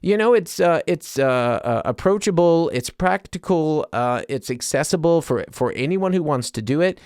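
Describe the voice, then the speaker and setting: A male podcaster with a somewhat higher-than-average voice talks off the cuff to his listeners. His delivery is loose, conversational and self-deprecating, in the manner of a comedian thinking out loud. The sound is dry, with very little room in it. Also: animated, normal speed, clean tone, dry recording. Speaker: podcast host and stand-up comedian. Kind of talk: casual solo podcast monologue.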